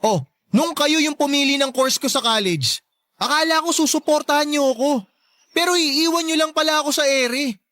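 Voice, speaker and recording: A man's voice: very high-pitched, moderate pace, sharp tone, dry recording. A young man playing a resentful, neglected grown son in a radio drama, plaintive and reproachful but respectful. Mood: hurt, reproachful. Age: young adult. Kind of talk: emotional radio drama acting.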